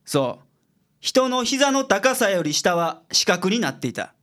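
A man's voice: high-pitched, sing-song, unhurried, a bit bright, exaggerated voice. A man playing a blunt, logical private detective with a Kansai accent in a radio drama. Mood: composed, explaining. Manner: explanatory, blunt with his juniors. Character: gruff, logical male private detective, secretly soft-hearted.

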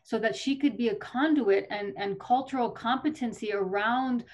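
A woman's voice: fairly high pitch, moderate pace, lo-fi sound. A woman in her thirties from Colorado, a lawmaker answering podcast interview questions in an earnest, articulate way with some fillers and restarts. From Colorado, USA. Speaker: state legislator, guest.

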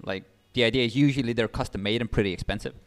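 A man's voice: medium pitch, rapid-fire. A young man from Estonia, founder of a startup and speaking as an English speaker, explaining ideas eagerly with many fillers and restarts. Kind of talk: interview guest.

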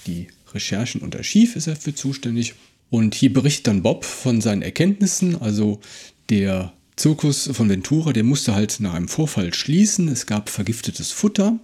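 A man's voice: mid-range voice, mellow, husky. A man co-hosting a casual discussion, retelling and explaining a story in a conversational way. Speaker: podcast co-host.

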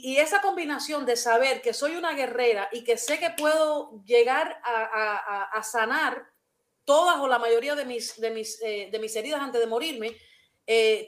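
Woman hosting a talk show, high voice, earnest, keeps restarting her sentences. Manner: earnest, hesitant, with frequent restarts. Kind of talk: personal talk-show conversation.

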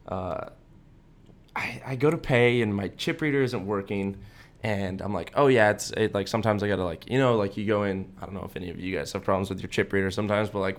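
A young adult man co-hosting a podcast, telling personal stories in a casual, rambling way and acting out the quotes. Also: lowish voice. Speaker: podcast co-host. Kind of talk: casual anecdote-telling chat.

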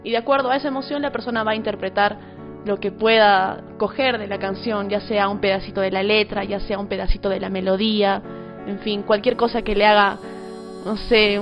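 A woman's voice: mid-range voice, flat delivery, fairly quick, over music. A woman with a Peruvian accent speaking as an interview guest, a singer-songwriter talking casually. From Peru.

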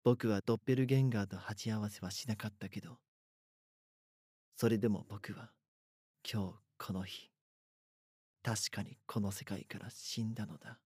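A man's voice: lowish voice, steady tone, normal speed, long pauses, darkish tone, slightly rough, dry recording, clean studio sound, voice pushed down. A man playing a frightened, helpless schoolboy who narrates his own story in an audio drama. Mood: hopeless, resigned.

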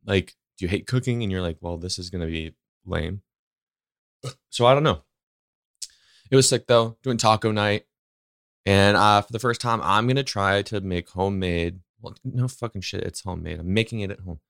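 A man's voice: on the low side, normal speed, vocal fry, fairly bright tone, no echo, clean studio sound. A man in his thirties hosting a solo podcast, rambling in a casual, slangy, upbeat way and swearing freely. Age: 30s. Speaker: podcast host.

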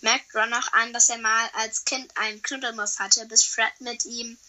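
Hoarse young woman on a phone call. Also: fairly high pitch, level tone, moderate pace, bright, forceful tone. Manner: hesitant, many fillers. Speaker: podcast guest. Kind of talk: casual chat.

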